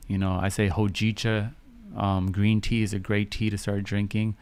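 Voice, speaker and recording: A man's voice: on the low side, level tone, moderate pace, darkish tone, clear, resonant tone. A man, hosting a casual live stream chat in an affirming way, repeating phrases and checking that listeners understand. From Canada, grew up in Vancouver. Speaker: live stream host and podcaster.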